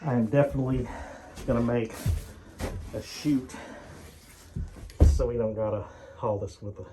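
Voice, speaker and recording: A man's voice: mid-range voice, unhurried. A man vlogging casually off the cuff in a folksy, rambling, slangy way. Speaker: host of a garage and farm vlog. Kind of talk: casual vlog chat, off the cuff. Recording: clearly noisy ambient background.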